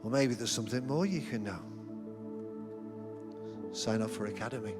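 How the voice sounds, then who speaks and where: A man's voice: medium pitch, normal speed, over loud music. A middle-aged man preaching a sermon as senior pastor in a church, telling humorous, self-deprecating stories. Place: church auditorium.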